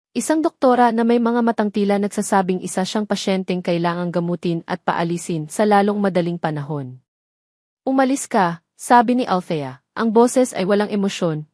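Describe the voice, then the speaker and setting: A woman reading a scripted romance story in a measured, solemn, dramatic narrating manner. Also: mid-range voice, a little mellow, dry recording, clean studio sound.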